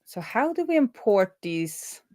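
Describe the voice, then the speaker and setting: A woman live-streaming as a programmer, thinking aloud in a casual, halting way as she mutters through her work. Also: medium pitch, big pitch swings, darkish tone, no room sound, studio quality. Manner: halting, muttering to herself while working.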